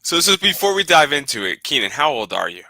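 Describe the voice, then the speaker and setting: A man's voice: medium pitch, big pitch swings, fairly quick, creaky, pushed and bright, dry recording. A man in his twenties hosting a casual video-call chat, rambling and repeating words. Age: 20s. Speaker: podcast host.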